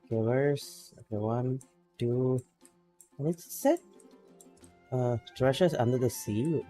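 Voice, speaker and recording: A man chats with his stream viewers in an easygoing, rambling way, laughing now and then. His voice sits at a middle pitch with a mellow, relaxed tone, and he talks at an unhurried pace, with faint music behind him. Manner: laid-back, chatty, laughs often, greets chat by name. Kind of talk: casual gameplay stream chat.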